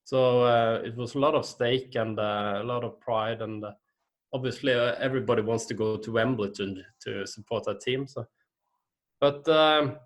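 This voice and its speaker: Man, English, hesitant, lots of uh and restarts, mid pitch. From Norway. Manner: hesitant, many fillers and restarts. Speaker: guest. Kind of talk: casual interview chat.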